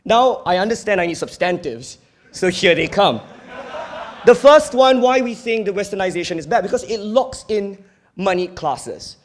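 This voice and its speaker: A man's voice: high-pitched, lively intonation, moderate pace, slight background noise. A young man with a Singaporean accent giving a competitive debate speech in an earnest, emphatic, argumentative manner. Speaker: university debater.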